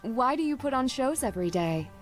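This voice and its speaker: A woman's voice: sits high, lively intonation, brisk, faint music behind. An actress playing a polite, inquisitive young female amateur detective in a video game. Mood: curious. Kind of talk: video game character dialogue.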